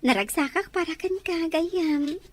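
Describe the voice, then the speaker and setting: A woman's voice: very high-pitched, measured pace. A woman playing a playful, teasing confidante in a radio drama, with a giggly manner. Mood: teasing, amused.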